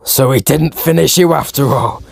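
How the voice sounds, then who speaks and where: A man's voice: mid-range voice, moderate pace, gravelly, husky. A ruthless male villain acted out by a man threatens with open defiance. His greedy menace plays in comic-book audiobook style over background music. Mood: menacing.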